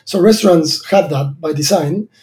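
A man's voice: on the high side, normal speed, darkish tone. A man in his thirties from Argentina, a startup founder answering interview questions thoughtfully, with many fillers and restarts. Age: 30s.

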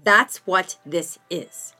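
A woman's voice: fairly low pitch, drawn-out words, gravelly, sharp tone, faint music behind, heavily acted. A woman playing an indignant, pious small-town woman, stubborn and emphatic, in a comic radio play. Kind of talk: radio play comedy dialogue.

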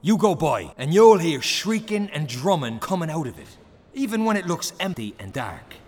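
A man's voice: on the high side, sing-song, moderate pace, a little mellow, long reverb tail. An actor playing a surly, self-righteous male gangster in a radio drama, defiant and pious in his talk. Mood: righteous, indignant.

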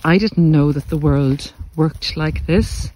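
A man giving a reflective, earnest monologue to listeners, speaking deliberately in short phrases with pauses. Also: fairly high pitch, darkish tone, slight background noise, poor audio. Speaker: podcast host and narcissistic abuse coach. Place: outdoors in the countryside, walking. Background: country sounds.

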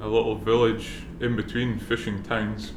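A man's voice: lowish voice, flat delivery, normal speed. A middle-aged Scottish man, a brewery co-founder guest on a casual podcast, speaking in a laid-back way with dry humor and many fillers. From Scotland. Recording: roomy with noise behind.

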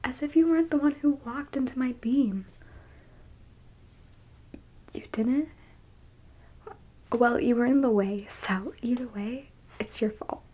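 ASMR roleplay alien captor, female, played by a woman: high-ish, unhurried, whispery, smug and teasing. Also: mellow. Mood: amused, mocking. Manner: condescending, teasing, breathy half-whispered delivery. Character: smug, teasing female alien abductor examining a captured specimen.

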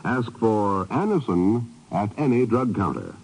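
A male radio announcer from the 1950s delivers scripted copy. His voice is fairly low and husky with a dark tone, and his pitch moves in lively sweeps. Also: measured pace, faint music behind, poor audio.

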